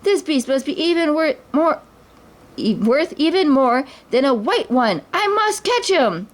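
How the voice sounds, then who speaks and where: A woman's voice: sits high, sing-song, unhurried, pitched above the performer's own voice. A sly desert thief from a children's storybook, read aloud by a woman. He mutters his schemes with a shifty grin. His plans are all greed. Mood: greedy. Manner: sly, muttering, later panicky wails.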